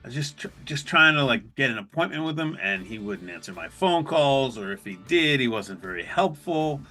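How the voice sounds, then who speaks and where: Male podcast host, middle-aged, crisp bright tone, conversational self-deprecating storyteller, guitar music underneath. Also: medium pitch, lively intonation, normal speed. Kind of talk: casual solo podcast storytelling.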